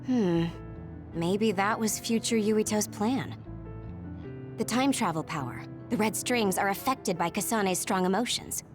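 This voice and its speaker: A woman's voice: mid-range voice, a little slow, over music, natural voice. A woman playing a brainy, laid-back young woman in an English game dub, explaining things in an analytical, matter-of-fact way.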